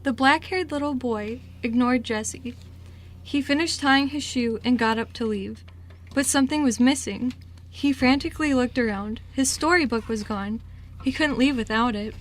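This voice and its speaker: High-voiced woman narrating a story. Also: normal speed. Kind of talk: scripted story narration for amateur radio drama. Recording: noisy amateur radio recording.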